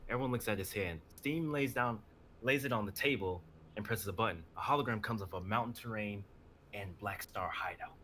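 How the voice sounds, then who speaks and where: A man's voice: on the low side, fairly quick, sharp tone, slight background noise. A man narrating stage directions in a live dramatic reading of a script.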